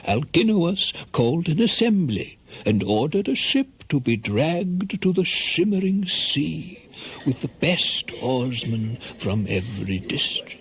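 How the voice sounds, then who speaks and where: A male narrator tells a tale in 1970s radio drama style over prominent music. His pace is slow and his mid-range voice moves widely with dramatic, deliberate phrasing. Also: mid-range voice. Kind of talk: scripted storytelling narration for a 1970s radio play.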